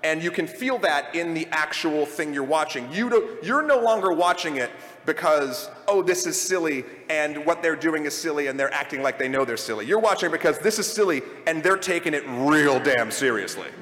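Male panel host, casual and self-correcting, slightly high voice, roomy hall reverb. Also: animated, normal speed, a bit bright. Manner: rambling, self-correcting, jokey. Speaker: podcast host on a live panel. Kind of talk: casual panel talk before a live audience. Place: convention hall. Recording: echoey hall with long reverb.

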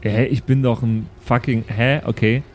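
A man's voice: mid-range voice, fairly even intonation, moderate pace, a little hiss or hum. A man chatting casually as a player in an improvised role-playing game, often thinking aloud.

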